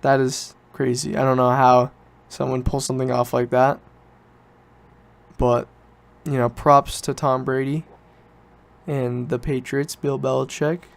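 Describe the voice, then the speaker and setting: A young man in his late teens talks as if hosting his own podcast. His voice is clean and smooth, sitting at an average male pitch. He tells things in a loose, informal way, doubling back to correct himself as he goes. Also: level tone, unhurried. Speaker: podcast host. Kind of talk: casual solo podcast chat, rambling storytelling.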